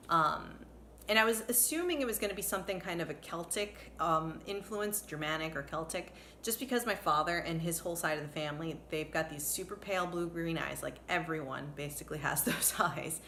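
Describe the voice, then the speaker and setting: Lower-voiced chatty woman, noisy home recording. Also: a bit bright. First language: English and Portuguese from early childhood. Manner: chatty, digressive, chuckles while talking. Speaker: vlogger. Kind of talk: casual, unscripted explaining to camera.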